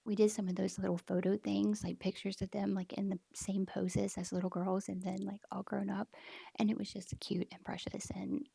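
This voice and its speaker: A woman's voice: medium pitch, level tone, moderate pace, gravelly, warm tone, husky, no echo. A woman telling a personal story from her office, rambling with lots of "like" and often dropping to a half-whisper.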